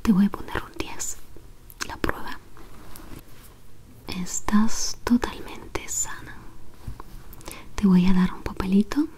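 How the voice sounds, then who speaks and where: Slow, whispering young woman in ASMR. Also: mid-range voice, fairly even intonation, poor audio. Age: young adult. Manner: soothing, gently instructing in short phrases. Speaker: ASMR role-player as clinic examiner. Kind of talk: whispered ASMR medical role play. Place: small indoor room.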